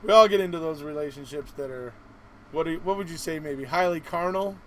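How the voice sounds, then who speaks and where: High-pitched man hosting over background noise. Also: brisk. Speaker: live-stream host. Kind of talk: casual interview chat.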